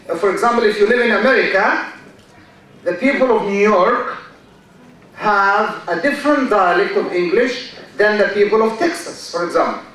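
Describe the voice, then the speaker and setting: A man with an Egyptian accent, a native Arabic speaker, gives a university lecture, speaking slowly, repeating key words and quizzing his students. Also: sits high, a bit bright. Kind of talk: classroom lecture. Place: large lecture hall. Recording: echoey hall, mic far off.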